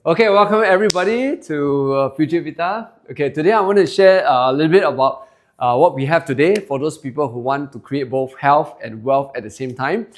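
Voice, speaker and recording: A man's voice: fairly high pitch, brisk. A man in his forties with a Singaporean English accent gives a live sales presentation to an audience, speaking conversationally with many "uh"s and frequent "okay?" check-ins. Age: 40s. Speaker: product presenter.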